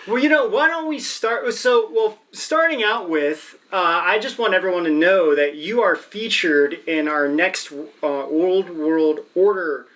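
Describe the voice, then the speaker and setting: Chatty high-voiced male host. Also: animated, unhurried, hardly any pauses, fairly bright tone. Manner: friendly, chatty, many fillers. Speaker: show host. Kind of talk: casual interview hosting.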